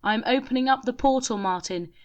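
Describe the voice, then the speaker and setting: A woman's voice: on the high side, brisk, darkish tone, voice pushed up, close to an everyday voice. A woman voicing Grim, a stern male spirit of death in a spoken story, commanding and urgent.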